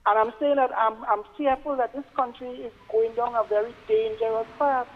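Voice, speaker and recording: A Trinidadian man speaks as a phone-in caller with an earnest, halting delivery. He doubles back on words as he makes his point. His voice comes over a narrow telephone line with long reverb. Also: very high voice, steady tone. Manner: halting, stammering repetition of words. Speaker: radio show caller.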